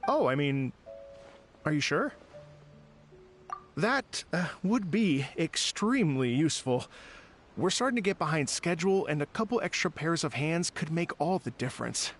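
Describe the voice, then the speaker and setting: A man's voice: fairly high pitch, sing-song, normal speed, soft background music. A man playing an earnest, courteous and slightly hesitant festival organizer in a video game. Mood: grateful, earnest. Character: earnest polite gentleman music festival organizer.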